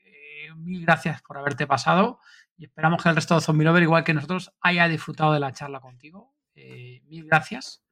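A man co-hosting a casual podcast interview, chatty and enthusiastic, quick with praise. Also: fairly high pitch, fairly even intonation, a bit bright. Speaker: podcast co-host.

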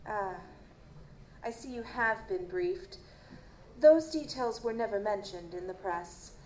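A woman playing a guarded, formal chief medical examiner in an audio drama, measured and dismissive. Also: medium pitch, expressive, darkish tone. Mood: cool, guarded. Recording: roomy, noisy live stage recording.

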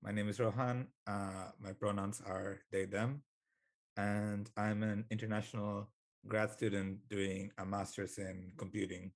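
A man's voice: lowish voice, little pitch movement, measured pace. A young man from Bangladesh, a graduate student, talking in a thoughtful, hesitant way on a podcast. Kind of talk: conversational podcast talk.

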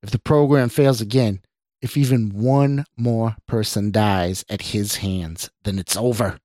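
Man acting a cold male authority figure, stern, skeptical, measured, commanding, storybook, dry sound. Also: fairly low pitch, mellow, dry recording, lightly acted. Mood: stern warning.

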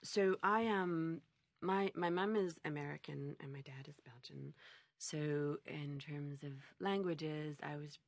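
A woman's voice: sits low, takes it slowly, no room sound. A middle-aged woman from Montreal, an interpreter answering interview questions in a reflective, conversational way, often reworking her sentences as she goes. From Montreal, Canada. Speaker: conference interpreter being interviewed.

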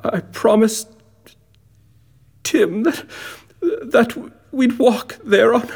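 A gentle, bereaved father in a radio drama. His voice is breathy and husky, moving slowly as he stammers tearfully. He sounds humble and loving. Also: extremely high pitch, exaggerated voice. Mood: brave sorrow.

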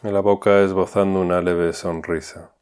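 Man, guided meditation, very deep low voice, calm and unhurried. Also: clear, resonant tone. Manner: calm, unhurried instructions with long pauses. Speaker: meditation instructor.

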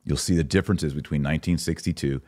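Male podcast host, low voice in a dry close recording, emphatic and sarcastic commentary. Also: steady tone, fast, darkish tone, no echo. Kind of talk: commentary with document read aloud.

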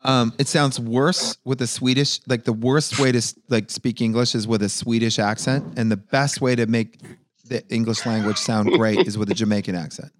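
A man's voice: medium pitch, steady tone, moderate pace. A man hosting a podcast over a video call, rambling and swearing a lot, and drunk by his own account. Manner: profane, rambling, tipsy. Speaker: podcast host. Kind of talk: casual chat.

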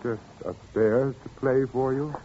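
An actor playing a mad, menacing widower organist in a theatrical, genteel 1940s radio suspense style. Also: male voice, medium pitch, steady tone, measured pace, warm tone, slightly rough. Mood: softly menacing. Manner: theatrical, genteel, ominous phrasing. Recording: muffled, noisy 1940s radio recording.

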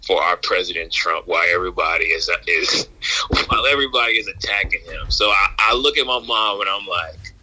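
A man with a lowish but very bright, punchy voice, guesting on a podcast. He tells stories casually, circling back over phrases with slangy, wry humor. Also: rough recording. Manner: repetitive, halting, slangy, wry. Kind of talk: casual anecdotal storytelling.

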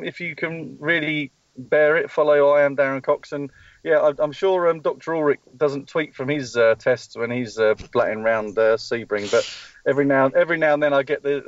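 A man's voice: a little high, no echo, dull audio. A man speaking as a motorsport team spokesperson in a live call-in interview, rambling with many ums and restarts, calling in from a race track. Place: at a race track testing session.

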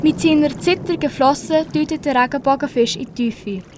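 A woman's voice: sits high, moderate pace, noisy background. A teenage girl reading scripted story narration for an amateur radio play.

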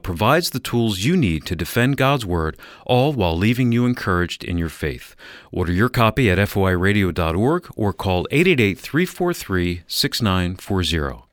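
A man reading a scripted radio promotion in a polished, deliberate manner. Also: lowish voice, fairly bright tone, no echo, studio quality. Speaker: radio announcer. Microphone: close studio microphone.

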